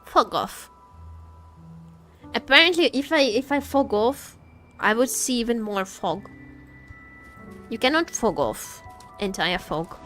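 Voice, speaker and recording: A woman's voice: on the high side, expressive, pushed and bright. A woman streaming a video game, chatting casually and playfully with her viewers and given to exclaiming and joking. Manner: playful, exclaiming, quick to joke. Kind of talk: casual live gameplay chat with viewers. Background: background music.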